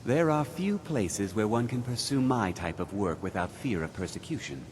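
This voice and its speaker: An actor playing a guarded, secretive conjurer in a fantasy game, speaking formally and cautiously. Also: male voice, animated, normal speed, close to an everyday voice. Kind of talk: fantasy video game voice acting. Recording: rumbling background noise.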